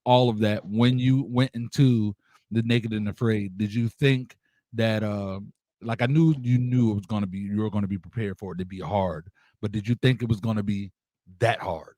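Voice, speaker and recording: Male interview host, slightly low-pitched, informal and encouraging, slangy chat, very dry sound. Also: steady tone, normal speed, warm tone, dry recording. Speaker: radio show host interviewing a guest.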